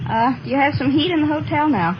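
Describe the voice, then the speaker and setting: A woman's voice: high voice, a little fast, lo-fi sound. A woman, a soldier's wife, chatting with her husband in cheerful, short answers. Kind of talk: casual chat over a radio link. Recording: noisy 1940s radio broadcast recording.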